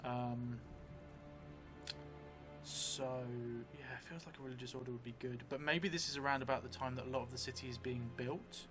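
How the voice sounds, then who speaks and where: A middle-aged man thinking aloud as he narrates a solo tabletop role-playing game, halting between phrases. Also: medium pitch, fairly even intonation. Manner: halting, pausing between phrases while writing. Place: indoor room, seated at a table. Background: music.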